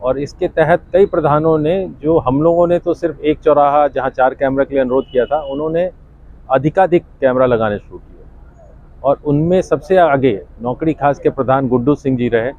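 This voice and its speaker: A man's voice: a little high, normal speed, narrow, tinny audio. A man speaking as a senior official, giving a statement to the media.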